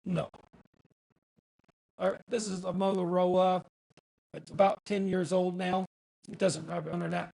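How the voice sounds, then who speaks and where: A man voicing a stubborn, thrifty older man through a voice changer, raspy and hesitant, in an improvised phone conversation. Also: flat delivery, unhurried, long pauses, darkish tone, exaggerated voice, rough recording. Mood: hesitant, plain. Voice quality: raspy, creaky, high-pitched.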